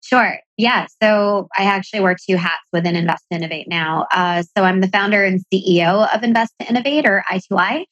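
A woman's voice: medium pitch, brisk, hardly any pauses, a bit bright, no room sound, muffled recording. A woman with an American accent, raised in Pakistan, chatting as a podcast guest, upbeat and explaining at length. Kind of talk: podcast interview.

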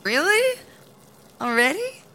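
A woman's voice: mid-range voice, lively intonation, measured pace, bright, forceful tone. A woman playing a friendly, evasive prison inmate in a comedic audio drama, putting on innocence. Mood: innocent, evasive.

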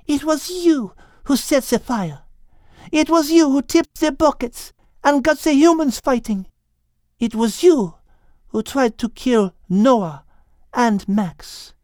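Defiant animal heroine played by a woman, deliberate. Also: high voice, lively intonation, a little mellow, no room sound, clean studio sound. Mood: accusing, defiant. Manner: firm, deliberate, accusing. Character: defiant, clever female animal heroine with French flair. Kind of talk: dramatized children's audiobook acting.

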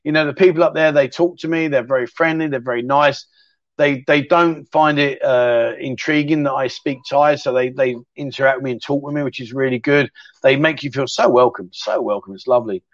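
A man's voice: medium pitch, steady tone, fairly quick, no room sound, lo-fi sound. A middle-aged man, casually hosting a livestream chat show and answering viewer questions in a rambling, matey way.

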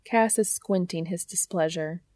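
A woman reading a story aloud in an expressive audiobook narration style. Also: fairly low pitch, measured pace, no echo, clean studio sound. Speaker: podcast reader of fan fiction.

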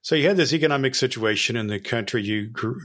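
A man's voice: mid-range voice, fast talker, fairly bright tone, no echo. A man hosting a podcast interview, summing up the guest's points and asking probing questions. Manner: summarizing, probing. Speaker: podcast host.

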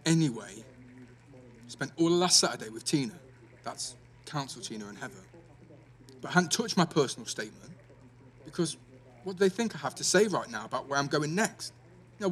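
A man's voice: a little high, natural voice. A young man playing a defensive, sweary teenage schoolboy in a naturalistic audio drama, with an East Midlands English accent and a blunt, slangy delivery. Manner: blunt, slangy, full of tag questions.

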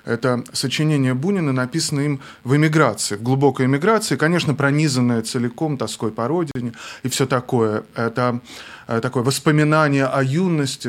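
A young adult man, a film director, talking reflectively as a radio guest, measured with small restarts. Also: mid-range voice. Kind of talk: thoughtful interview talk.

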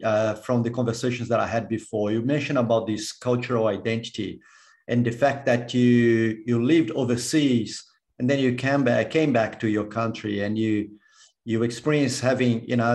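A man's voice: medium pitch, darkish tone. Male interview host. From Brazil. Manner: repeats words as he rephrases questions. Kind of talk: conversational interview.

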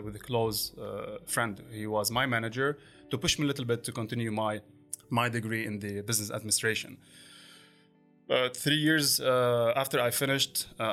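Bright-voiced man, halting speech. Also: medium pitch. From Lebanon. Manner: halting, with frequent fillers and restarts. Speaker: podcast guest. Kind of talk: conversational interview.

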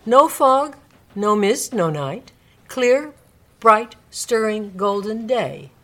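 Expressive woman narrator, dramatic storytelling. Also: fairly high pitch, slow. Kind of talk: dramatic storytelling, live radio reading of a classic.

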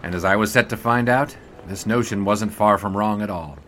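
Man playing a low-voiced, wry 1920s sportswriter. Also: moderate pace, over loud music, close to an everyday voice. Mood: wry, reflective. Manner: wry, storytelling, old-fashioned phrasing. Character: 1920s sportswriter, cocky, flippant charming man looking back. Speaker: narrator and lead character. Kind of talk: scripted period audio drama narration and dialogue.

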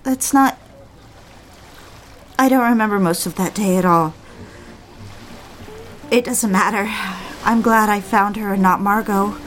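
A woman playing a romantic, probing newlywed wife in a period audio drama, earnest and gently pleading as she questions. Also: medium pitch, a little slow, long pauses, lightly acted.

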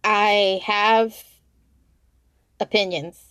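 A woman's voice: medium pitch, fairly even intonation, drawn-out words, a bit bright, clear, resonant tone, no room sound. A woman hosting a casual interview, wry and informal with a playfully dramatic way of reacting. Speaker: podcast interviewer, host.

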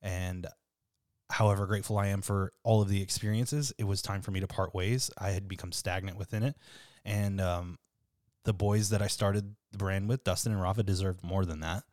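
A man in his thirties with a deep voice, hosting a casual podcast chat in a relaxed, freely swearing way. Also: moderate pace, dry recording, clean studio sound. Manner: laid-back, swears freely, laughs often. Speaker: podcast host.

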